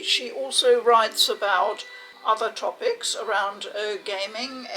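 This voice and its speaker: A woman's voice: fairly high pitch, bright, forceful tone, slight background noise, low-quality recording. A woman hosting a game review video, talking casually to viewers. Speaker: game review channel host. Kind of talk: casual unboxing and review talk.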